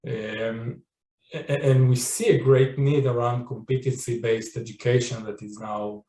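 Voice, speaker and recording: A man with an Israeli accent speaks as a panelist, explaining in halting conversational phrases. His pitch moves very little, and the room around him echoes. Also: fairly even intonation, mellow. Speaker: company chief, panel speaker. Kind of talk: conversational webinar talk. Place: indoor room, online webinar. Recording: roomy, echoing webinar audio.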